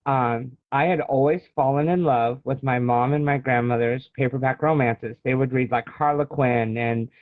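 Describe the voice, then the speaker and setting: A man's voice: medium pitch, fairly even intonation, normal speed, hardly any pauses, dry recording. An American man in his forties from Illinois, telling personal stories as a guest author in a casual interview. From Illinois, United States. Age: 40s. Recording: thin phone-like video call audio.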